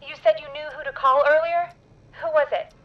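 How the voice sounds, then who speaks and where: Concerned female radio colleague, extremely high pitch, brisk, dull filtered sound, horror game. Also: steady tone. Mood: urgent, curious. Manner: brisk, practical. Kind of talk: dramatic horror game voice acting. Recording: dull, filtered line with music.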